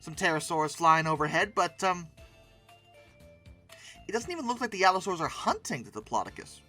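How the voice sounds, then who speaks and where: A very bright, forceful voice with lively, swooping pitch over background music. The host gives casual, off-the-cuff reaction commentary in an expressive and emphatic way. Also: normal speed. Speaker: host of a paleoart commentary channel.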